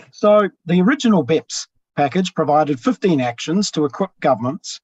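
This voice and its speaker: A man with a husky voice, giving a measured academic talk over a video call, often pausing with ums. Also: a little high, expressive, dry recording. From New Zealand. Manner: measured, with frequent ums and pauses. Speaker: tax professor presenting. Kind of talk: academic webinar presentation. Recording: dull lo-fi video call audio.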